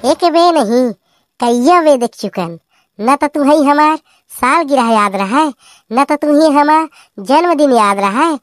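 A woman's voice: high voice, expressive, a little slow, no echo, soft background music. A woman voicing a bickering village spouse in a comedy cartoon, with a Bagheli accent and a scolding manner.

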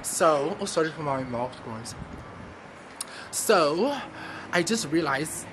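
Higher-voiced chatty male vlogger, noisy background. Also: animated, takes it slowly. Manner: chatty, addresses viewers as guys. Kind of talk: casual vlog chat to viewers. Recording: noisy vlog audio with background ambience.

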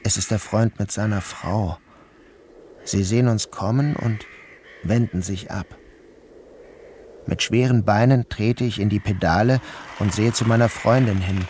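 An actor playing a troubled, dazed man who narrates his own day in a measured, literary radio drama style. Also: lowish voice, a little mellow, a little hiss or hum, close to an everyday voice. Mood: uneasy, dreamlike. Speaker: first-person narrator.

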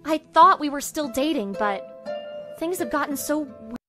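A woman's voice: high voice, expressive, measured pace. A woman acting an upset teenage schoolgirl hero in an anime parody dub, weary and wry, with music behind her. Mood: hurt, wry.

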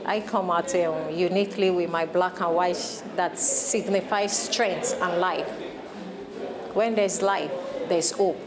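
A woman's voice: lowish voice, steady tone, takes it slowly, crowd noise. A woman with a Nigerian accent, a painter answering an interview in her own unscripted words. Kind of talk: spontaneous interview. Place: indoor art gallery. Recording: people chattering in the gallery.

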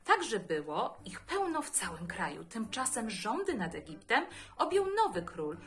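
Very lively woman reading Bible stories. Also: fairly high pitch, moderate pace. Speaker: children's Bible story reader. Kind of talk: expressive scripture reading for children.

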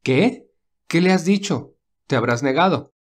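A man voicing a gentle, protective young man who speaks calmly and reassuringly in a read-aloud story. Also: fairly high pitch, measured pace. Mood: alarmed. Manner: calm, reassuring. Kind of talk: audiobook dialogue reading.

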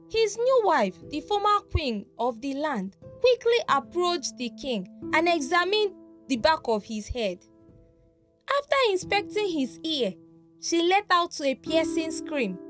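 A woman narrating a scripted folktale in a dramatic storytelling manner. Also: very high voice. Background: background music.

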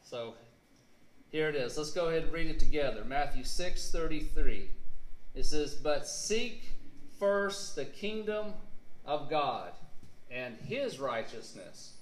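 A man in his thirties preaching a sermon in a church on a handheld mic, earnest and halting with fillers, leaning hard on key words. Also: fairly high pitch, expressive, slight background noise. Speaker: pastor. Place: church sanctuary, indoors. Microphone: handheld mic, filmed by a camera across the room. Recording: echoey church room.

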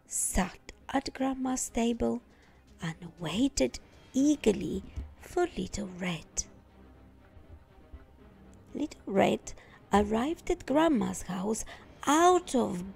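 A woman narrating a children's story expressively, with deliberate emphasis. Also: fairly high pitch, lively intonation, vocal fry, a little mellow, husky. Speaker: storytime reader.

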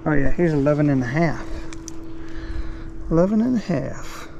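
A man's voice: on the high side, lively intonation, normal speed, vocal fry, dark tone, dull audio. A middle-aged man, chatting in a laid-back, folksy way while fishing from a small boat, recorded on a head-mounted camera. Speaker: fishing vlogger. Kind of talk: casual narration while fishing. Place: small boat on a shaded swamp, outdoors. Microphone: head-mounted action camera. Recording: faint outdoor noise.

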